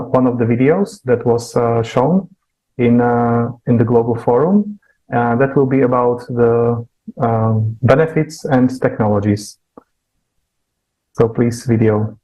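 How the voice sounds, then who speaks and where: A man with a dark, mellow voice at medium pitch hosts a discussion. His unscripted phrasing is hesitant and broken into short pieces. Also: low-quality recording. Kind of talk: unscripted hosting.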